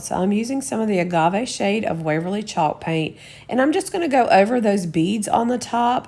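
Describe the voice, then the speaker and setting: A woman with a Southern US accent, slightly hoarse and scratchy from a cold, chatting through craft steps as a channel host. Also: medium pitch, warm tone. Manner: chatty, homey, explains each step. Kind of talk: casual DIY voice-over.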